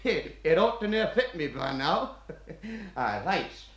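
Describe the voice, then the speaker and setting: A man's voice: high-pitched, big pitch swings, slow pace, a bit bright, echoey room, heavily acted. An actor playing a jovial, chatty English hangman with a Northern accent, breezy and folksy in a radio play.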